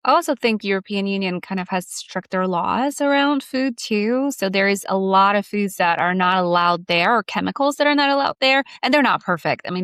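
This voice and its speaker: Young woman from Poland, podcast host, chatty, mid pitch, dry sound. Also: fairly bright tone, dry recording. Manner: chatty, frequent affirming backchannels. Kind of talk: casual podcast conversation.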